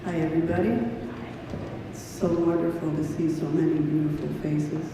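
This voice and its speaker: A man's voice: fairly high pitch, level tone, moderate pace. A man giving an informal, personal eulogy at a memorial service in a church hall. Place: church hall, speaking to a gathering. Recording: echoey hall from far off, noisy.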